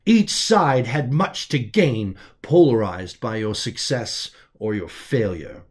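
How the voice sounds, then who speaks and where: A man's voice: medium pitch, lively intonation, takes it slowly, breathy edge. A man voicing a loyal, plain-spoken male lieutenant and medical advisor in an audiobook, explaining things deferentially to his superior. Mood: earnest, explaining.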